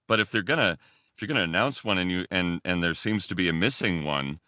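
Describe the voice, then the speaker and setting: A man speaking as a podcast guest in casual fan discussion, haltingly thinking aloud. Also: low voice, sharp tone, no room sound, studio quality.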